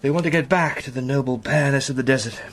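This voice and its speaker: An actor playing an earnest, impassioned British gentleman spy with a literary turn of speech in a radio drama. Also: mid-range voice, fast talker, natural voice. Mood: intense, awed. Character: Sandy, fervent eloquent British gentleman spy.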